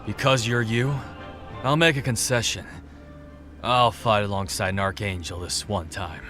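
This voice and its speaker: Brooding male avenger acted by a man, audio drama: very low pitch, terse and blunt, music underneath. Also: steady tone, measured pace, bright, forceful tone. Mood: resolute.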